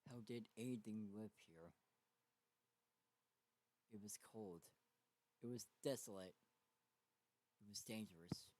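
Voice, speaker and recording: A man narrating a story dramatically, with a halting delivery that stumbles and repeats. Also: fairly low pitch, darkish tone, dry recording, low-quality recording. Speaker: fan fiction reader.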